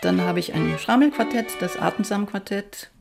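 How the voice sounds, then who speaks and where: A woman's voice: mid-range voice, moderate pace, gravelly, over music. A middle-aged woman who grew up in Austria, a violinist speaking reflectively and with enthusiasm about her work in a documentary interview.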